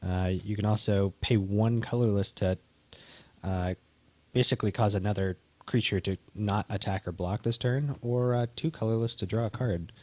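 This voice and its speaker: A man co-hosting a casual stream, chatting in a relaxed, halting way with frequent restarts. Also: fairly low pitch, no room sound. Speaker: co-host opening card packs. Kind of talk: casual chat.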